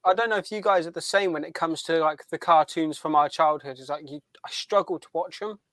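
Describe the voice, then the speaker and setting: A man's voice: a little high, brisk, fairly bright tone, no room sound, studio quality. A British man chatting casually as a podcast co-host. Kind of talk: casual chat.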